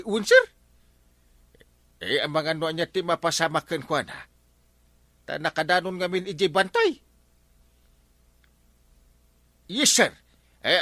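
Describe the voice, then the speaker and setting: A man's voice: sits high, pushed and bright, dry recording, studio quality, full character voice. A man with an Ilocano accent playing a bumbling, easily flustered village captain in a comedy drama, hesitant and stammering. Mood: deferential, excited.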